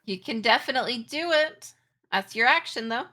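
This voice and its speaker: A woman's voice: on the high side, lively intonation, normal speed, sharp tone. A woman game master narrating and refereeing a tabletop game in informal, conversational phrasing.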